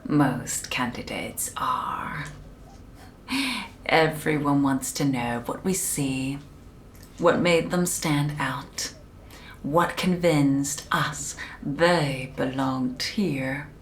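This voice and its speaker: A woman playing a calm, controlling institute specialist in a soft, breathy ASMR hypnosis roleplay, often whispering, with slow, soothing, clinical delivery. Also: very deep voice, a little hiss or hum, exaggerated voice. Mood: measured, knowing. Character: calm, controlling female institute specialist conducting mind conditioning.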